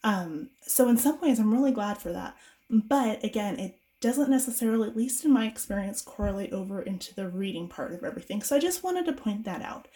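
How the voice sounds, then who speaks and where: Chatty homeschool mom getting over a cold. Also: female voice, mid-range voice, lively intonation, a little fast, mellow. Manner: chatty, rambling, many fillers and restarts. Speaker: homeschool mom reviewing curriculum. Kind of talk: casual video review. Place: home room with bookshelves.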